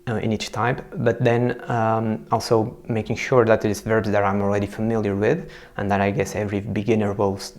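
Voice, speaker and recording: A young man talks to the camera from a small room, explaining how he studies a language. His voice sits low and has a gravelly, creaky edge. He hesitates often, stacking fillers and restarting phrases. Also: a little mellow. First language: Portuguese. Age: young adult. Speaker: language learner and video host.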